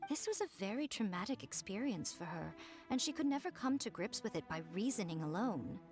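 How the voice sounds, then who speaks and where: A woman's voice: medium pitch, big pitch swings, brisk, soft background music, lightly acted. Poised, knowing woman with lilting intonation, acted. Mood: composed, reassuring. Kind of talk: video game voice acting.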